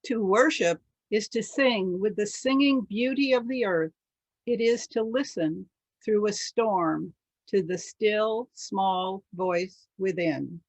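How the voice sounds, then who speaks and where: A woman reads liturgy slowly and steadily over a video call. Her voice is close and dry, with no room around it, and every phrase is given space. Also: medium pitch, no room sound, poor audio. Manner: calm, deliberate reading. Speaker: worship associate. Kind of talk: reading liturgy aloud.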